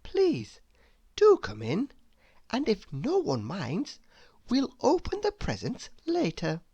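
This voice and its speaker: Woman playing a perfectly mannered little storybook fellow, soft, courteous, lilting pitch, close dry sound. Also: wildly swooping pitch, slow, breathy edge, dry recording, muffled recording, exaggerated voice. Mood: courteous, reassuring. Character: gentle, unfailingly polite, perfect male round cartoon creature.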